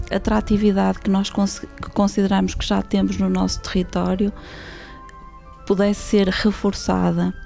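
A woman speaking as a guest on a local panel, measured and hesitant with frequent fillers. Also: lowish voice, vocal fry, mellow. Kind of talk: formal local panel talk.

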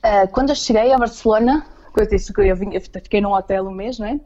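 A woman with a Portuguese accent chats in a soft-edged, mellow voice. She speaks as an interview guest, doubling back on words while looking for the right phrase. The recording is muffled and low in fidelity. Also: fast. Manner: hesitant, repeats words while searching for phrasing. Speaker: interview guest living abroad. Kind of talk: casual conversational interview.

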